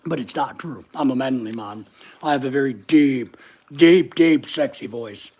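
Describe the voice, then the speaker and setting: Middle-aged man, high voice often mistaken for a woman's, chatty and self-mocking livestream host. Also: a little slow, darkish tone, breathy edge. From United States. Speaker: channel host reading live chat. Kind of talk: casual livestream chat.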